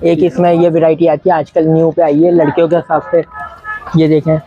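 A man's voice: fairly high pitch, little pitch movement, brisk, husky, highs cut off, faint music behind. A young man with a Karachi Urdu accent, an abaya seller giving short, matter-of-fact answers in a small shop. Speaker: abaya shopkeeper. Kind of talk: sales pitch. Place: small indoor clothing shop.

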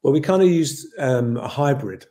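A middle-aged man with an English accent speaks over a video call from his home. His voice is warm and dark, and his answers wander thoughtfully, full of "you know" asides. He sounds like a film director musing about his work. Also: medium pitch, expressive, moderate pace. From Ireland. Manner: thoughtful, rambling with many "you know" fillers. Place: home room with shelves.

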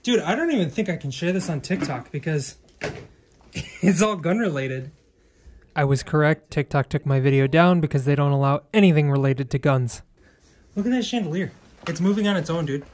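A man's voice: a little high, moderate pace, a little mellow. A young man chatting casually as he explores a cluttered house and talks through what he finds. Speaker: urban explorer filming a video. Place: inside a cluttered abandoned house. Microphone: handheld camera or phone, held by speaker.

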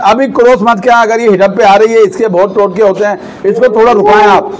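A man walks through a goat farm, praising the animals eagerly and pitching them like a salesman. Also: fairly even intonation, fairly quick, poor audio. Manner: enthusiastic, repeats blessings often, salesy. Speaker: vlogger visiting a goat farm. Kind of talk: walk-through vlog commentary with a seller.

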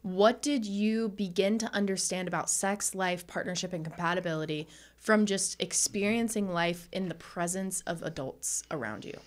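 A woman's voice: fairly low pitch, moderate pace. A woman in her twenties co-hosting a sex education podcast, speaking earnestly and encouragingly with plenty of "like" fillers, on a studio mic. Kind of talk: casual podcast conversation. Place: small studio with bookshelves and pink light. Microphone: studio mic on boom arm.

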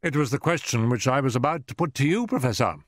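An urbane, unflappable gentleman detective performed by a male narrator, wry and polite. The pitch sits above a natural male voice and moves expressively, as in a classic storybook reading. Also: expressive, fast, no room sound, studio quality, close to an everyday voice. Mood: polite, firm.

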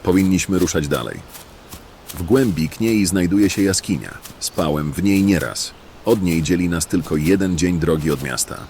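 Guy doing wary grumbly outlaw, super low voice, fantasy audio drama. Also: expressive, moderate pace, darkish tone, slight background noise. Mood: cautious. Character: wary, grumbling male bandit, anxious about capture. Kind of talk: fantasy radio drama acting.

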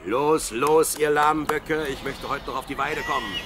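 An actor playing a plucky, devout young shepherd, the youngest brother, with earnest, dramatic delivery in an audio drama. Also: male voice, fairly high pitch, fairly even intonation, normal speed, natural voice. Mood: lively, good-humoured. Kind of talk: German audio drama acting. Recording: clearly noisy radio play with sheep sounds.

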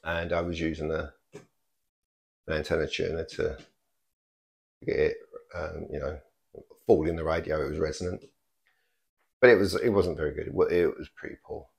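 An older man talking casually through a hobby vlog, explaining things in understated, hesitant, piecemeal phrases with a gravelly voice. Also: rumbling low, fairly even intonation, normal speed, pauses often. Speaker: amateur radio hobbyist vlogger.

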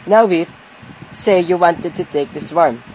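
An amateur actor playing a kindly, pious old peasant uncle in a radio play, folksy and gently chiding. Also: mid-range voice, a little slow, natural voice. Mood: instructive. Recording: noisy amateur home recording.

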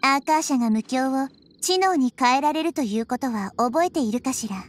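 A woman playing a wise, gentle childlike girl deity in an anime game, explaining things in a measured, thoughtful way. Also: sits high, expressive, a little hiss or hum. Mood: calm, explanatory. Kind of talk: Japanese anime game voice acting. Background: background music.

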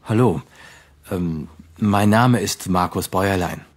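An actor playing a separated husband being interviewed, with a husky voice, for a textbook recording. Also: male voice, sits low, slow.

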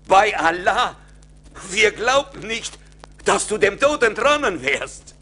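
An actor playing an agitated ship captain in a German audio play of an Arabian tale, exclaiming and declaiming on a vintage vinyl record. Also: male voice, high-pitched, very animated, slow pace, bright, forceful tone, exaggerated voice. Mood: astonished.

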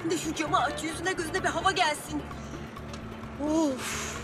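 A woman's voice: sits high, steady tone, moderate pace, lightly acted. A young actress playing an anxious, exasperated woman who whines and pleads in a TV drama. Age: young adult. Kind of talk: Turkish TV drama acting. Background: loud music.

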